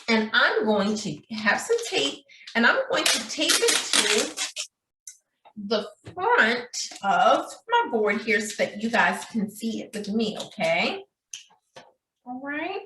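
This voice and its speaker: A woman with a high voice speaks as a preschool teacher, reading aloud and guiding small children. She takes her time and poses questions in a sing-song manner. The sound is roomy and echoey. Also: pushed and bright, a little hiss or hum. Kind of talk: children's read-aloud and craft lesson.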